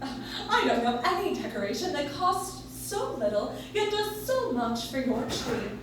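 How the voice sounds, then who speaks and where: High, lively woman reading a vintage radio ad. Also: a little slow. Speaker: radio commercial spokeswoman. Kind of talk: 1940s radio ad read. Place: live stage in a coffee shop. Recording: very echoey room, distant, faint noise.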